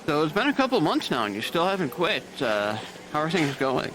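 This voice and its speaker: An actor playing a jaded male nurse supervisor who talks with calm, dry gallows humor. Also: a little high, a little fast. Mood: wry grim. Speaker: charge nurse. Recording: noisy background.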